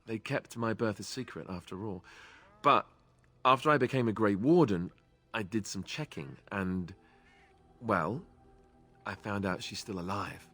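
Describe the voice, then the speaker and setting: An earnest young warrior from a fantasy game, with a fairly low voice. He talks casually and tentatively, in a dry, close recording. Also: measured pace, pauses often, bright, forceful tone, no room sound, faint music behind. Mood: confiding, hesitant. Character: young male warrior companion, hesitant and earnest. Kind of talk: video game dialogue acting.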